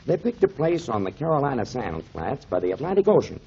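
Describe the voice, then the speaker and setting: An actor playing a kindly, avuncular mentor explaining things to children in emphatic 1930s radio style, on a muffled old recording. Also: male voice, medium pitch, very animated, a little fast, creaky, mellow, slight background noise. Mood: earnest storytelling. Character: kindly grown man, storytelling mentor to children, pipe smoker.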